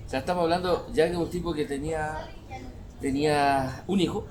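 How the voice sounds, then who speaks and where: A middle-aged man with a Chilean accent telling stories as a guest in casual conversation, haltingly, circling back over phrases. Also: on the high side, big pitch swings, gravelly, darkish tone, slight background noise.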